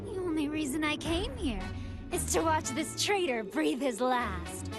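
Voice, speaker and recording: A woman's voice: high voice, animated, a little slow. A woman playing a sadistic female android villain in an anime video game, mocking and haughty in her theatrical delivery. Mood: cool contempt. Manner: taunting, haughty, coolly theatrical. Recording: loud music under the voice.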